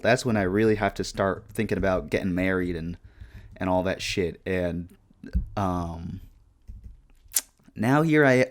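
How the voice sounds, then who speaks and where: A man in his twenties talking casually and reflectively over gameplay, in a deadpan monotone with lots of "like" and "um". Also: on the low side. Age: 20s. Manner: deadpan monotone with many fillers. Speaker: YouTuber.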